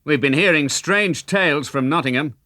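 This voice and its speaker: A man's voice: fairly high pitch, moderate pace, pushed and bright, no room sound, clean studio sound, pitched above the performer's own voice. A man playing a commanding, wry outlaw leader with a declamatory, questioning way of talking. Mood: hearty.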